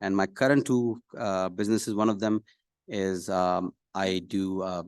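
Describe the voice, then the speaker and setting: A middle-aged man from Pakistan introducing himself as a candidate at a public meeting, pausing often mid-phrase. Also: sits low, steady tone, clear, resonant tone, no echo, studio quality. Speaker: city council candidate. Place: council meeting room, speaking at a podium.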